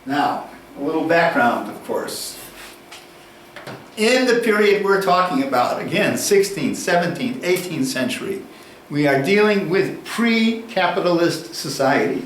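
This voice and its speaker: A man giving a university lecture, deliberate and unhurried, posing questions and then answering them. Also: on the high side, lively intonation, fairly bright tone, slight background noise. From United States. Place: lecture room. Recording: echoey room, distant mic, faint ambience.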